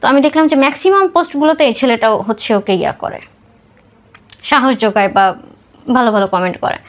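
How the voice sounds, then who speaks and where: A Bangladeshi woman with a somewhat high voice speaks as a guest on a podcast. She recounts her personal story in interview style, with English words mixed through her speech. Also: fairly quick.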